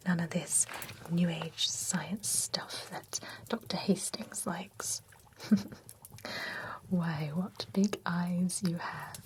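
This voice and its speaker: A woman whispering as a chatty female vampire who grooms a werewolf at a spa, soft and reassuring. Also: on the low side, background noise. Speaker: ASMR roleplay performer. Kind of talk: whispered ASMR roleplay.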